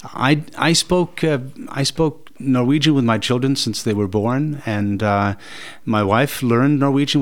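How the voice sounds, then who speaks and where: A somewhat low-pitched man speaks as a guest in a radio studio. He answers thoughtfully, pausing often and filling gaps with hesitations. His talk is relaxed and conversational. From Norway. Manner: thoughtful, hesitant with many fillers. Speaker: guest, festival director.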